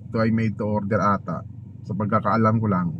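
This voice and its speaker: A man with a Filipino accent chatting casually as a motovlogger unboxing gear, informal and halting as he searches for words. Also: fairly low pitch, fairly even intonation, highs cut off. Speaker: motovlogger host. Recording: clearly noisy, vehicle and ambient noise.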